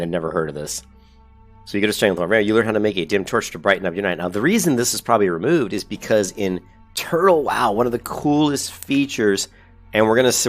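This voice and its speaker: A very deep-voiced man talks through a gaming video while fighting a cold, and his voice sounds stuffy and congested. He rambles, corrects himself mid-sentence and gets upbeat over features he likes. Faint music plays underneath. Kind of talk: casual commentary reading a website.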